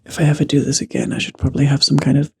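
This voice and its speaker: A man who is losing his voice and sounds hoarse and raspy. He chats casually in an ASMR-style whisper, joking at his own expense and slipping between whisper and voice, into a handheld mic. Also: medium pitch, steady tone, very fast. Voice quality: raspy, guttural. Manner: chatty, self-deprecating, drifts between whisper and voice. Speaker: vlogger. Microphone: handheld microphone.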